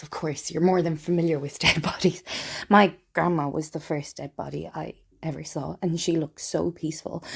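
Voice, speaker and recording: A woman playing a lonely, wry, broken woman confiding in Death in an intimate, hesitant theatrical monologue, with a husky, breathy voice. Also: fairly low pitch, a little mellow. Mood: tender, awkward. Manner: confessional, self-deprecating, hesitant. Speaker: writer and performer of a one-woman play.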